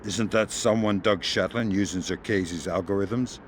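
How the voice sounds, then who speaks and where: An actor playing a calm, middle-aged American covert operative in a video game, speaking in a dry, deadpan way. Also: male voice, low-pitched, moderate pace, background noise, close to an everyday voice. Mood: dry, businesslike.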